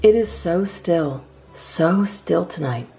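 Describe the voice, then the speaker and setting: A woman reading children's poems aloud as a storytime host, with expressive, measured phrasing. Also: fairly low pitch, faint music behind, poor audio.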